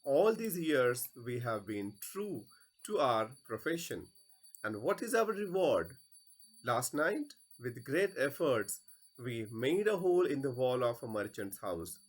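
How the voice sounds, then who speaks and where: A man's voice: mid-range voice, very animated, measured pace, breathy edge, low-quality recording. A man voicing a self-righteous thief in a stage play, pleading plaintively and melodramatically. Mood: wailing, aggrieved.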